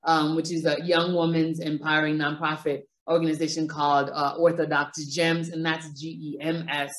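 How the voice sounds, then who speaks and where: A woman's voice: deep voice, level tone, moderate pace. A woman with a Caribbean accent hosting a podcast from a small room over a video call, talking with many fillers and restarts. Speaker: podcast host. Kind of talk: podcast introduction and interview. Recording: roomy echo.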